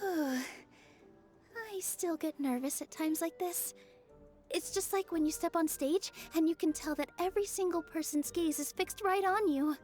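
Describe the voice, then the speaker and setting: An actress playing a gentle, sincere young fairy dancer in a fantasy video game. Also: female voice, extremely high pitch, measured pace, faint music behind. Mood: nervous. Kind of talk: video game character acting.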